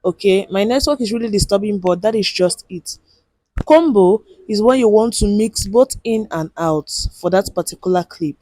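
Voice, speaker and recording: A woman with a loud voice teaching video editing step by step on a handheld mic, in a chatty way with lots of "okay" and "right?". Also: mid-range voice, moderate pace, no echo. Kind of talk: screen-recorded app tutorial.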